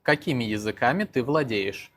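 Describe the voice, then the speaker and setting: A man's voice: medium pitch, expressive, moderate pace, fairly bright tone. Man plainly reading out questions. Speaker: interviewer asking questions.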